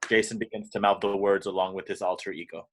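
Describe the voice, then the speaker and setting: A man's voice: deep voice, little pitch movement, quick pace. A man narrating screenplay action lines as scripted narration in an amateur table read. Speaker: narrator reading stage directions.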